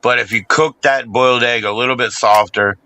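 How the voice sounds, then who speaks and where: A man's voice: mid-range voice, fairly even intonation, a little fast, sharp tone, slight background noise. A man chatting casually as a podcast host, laid-back, swearing and laughing often. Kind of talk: casual chat.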